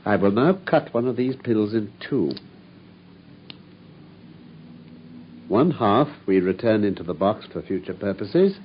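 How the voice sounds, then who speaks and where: An actor playing a brilliant, self-assured English gentleman detective in a theatrical, precise mid-century radio drama style. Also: on the low side, animated, moderate pace, close to an everyday voice. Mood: measured, methodical. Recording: muffled, faintly noisy old radio recording.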